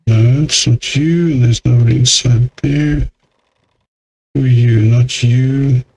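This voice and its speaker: Man, gaming host, unhurried delivery, exasperated grumbling, casual commentary, average pitch. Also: fairly even intonation, darkish tone, slight background noise, poor audio. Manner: grumbling, exasperated, mildly sweary. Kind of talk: casual let's play commentary.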